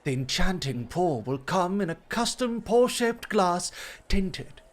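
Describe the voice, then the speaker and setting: A man's voice: sits high, sing-song, slow pace, full character voice. A man playing a suave, teasing dog host at a club in a flirty ASMR roleplay, with polished, coy, formal phrasing. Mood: teasing, chiding.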